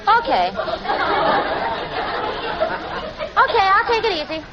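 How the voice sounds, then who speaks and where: A woman's voice: extremely high pitch, very animated, fast talker, over a noisy crowd. An actress playing a sensible, wry, long-suffering young woman with an American accent in a 1940s radio comedy. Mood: exasperated. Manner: wry, sarcastic, crisp. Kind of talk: 1940s radio comedy acting with first-person narration. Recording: muffled, noisy vintage radio, studio audience.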